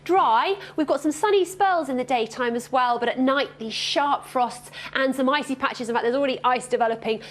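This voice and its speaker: A British woman presenting in an animated, playful, chatty vlog style. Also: high voice, moderate pace, hardly any pauses.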